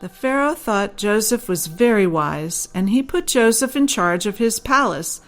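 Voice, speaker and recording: A woman's voice: medium pitch, expressive, measured pace, soft background music. A woman reads her own children's story as scripted audiobook narration.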